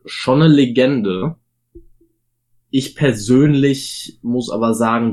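A man with a German accent and a fairly low voice co-hosts a relaxed wrestling podcast. He talks in an opinionated way, stopping often for fillers as he weighs each point. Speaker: podcast co-host. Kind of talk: casual wrestling podcast chat.

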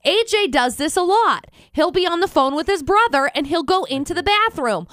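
A woman with a high, bright voice co-hosts a radio show. She banters casually and argues with emphasis, repeating her points to drive them home. The sound is close and dry. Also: lively intonation, normal speed, dry recording. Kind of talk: casual on-air debate banter.

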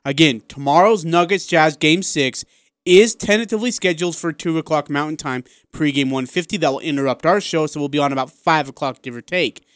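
Clear, higher-pitched male sports radio host. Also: a bit bright, no echo, studio quality. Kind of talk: casual sports talk with schedule rundowns.

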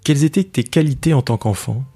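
A man's voice: medium pitch, fast talker, mellow, slightly rough. A man hosting an interview podcast, presenting his guest and putting questions. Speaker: podcast host, professional coach. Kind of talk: scripted introduction and interview questions.

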